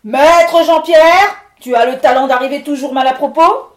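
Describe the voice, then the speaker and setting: A woman's voice: a little fast, mellow, close to an everyday voice. A woman voicing an irascible male wind spirit lord who thunders out threats and scolding. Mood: furious. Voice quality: booming, thundering. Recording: roomy echo.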